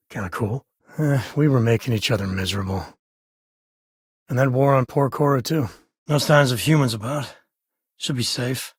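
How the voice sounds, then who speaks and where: An actor playing a wry, easygoing space cowboy explorer in a video game, a man with a husky, raspy voice and folksy, laid-back phrasing. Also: medium pitch, no echo, studio quality. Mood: easygoing, playful. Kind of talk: video game character voice lines.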